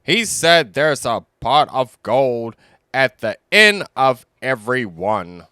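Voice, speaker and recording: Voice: medium pitch, sing-song, slow, bright, forceful tone, clear, resonant tone, no room sound, studio quality, exaggerated voice. A narrator voicing a pompous, learned male owl who explains things, in a children's storybook.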